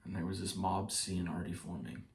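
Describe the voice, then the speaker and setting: A man performing a stage monologue as a shaken, bitter trial juror, speaking haltingly like someone giving testimony. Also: sits low, creaky. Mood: subdued, haunted. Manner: halting, with pauses and repeated phrases. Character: shaken male trial juror, hounded, guilt-ridden and bitter. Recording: roomy echo, distant mic.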